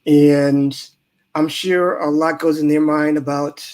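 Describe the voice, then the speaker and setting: A man's voice: on the high side, flat delivery, takes it slowly, darkish tone. A man hosting a live interview show, playful and joking, laughing often between questions. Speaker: podcast host.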